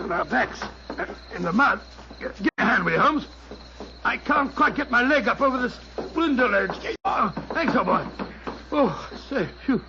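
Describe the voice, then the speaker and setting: Fussy, bumbling British gentleman sidekick, animated and stammering, 1940s radio drama, dull vintage sound. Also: very high voice, vocal fry, background noise, heavily acted. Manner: stammering, fussy, repeats himself excitedly. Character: blustering, bumbling, loyal older English gentleman sidekick.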